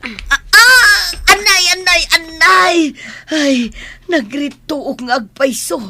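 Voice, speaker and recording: A woman's voice: very high voice, lively intonation, slow, pushed and bright, slight background noise, full character voice. A Filipino woman playing an injured elderly mother in an audio drama, speaking plaintively. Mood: crying out in pain. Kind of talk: Ilocano audio drama acting.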